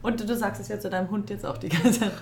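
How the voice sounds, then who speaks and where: A woman's voice: medium pitch, fast, a crowd in the background. A woman interviewer chatting casually, informal and responsive, encouraging the person she is talking with.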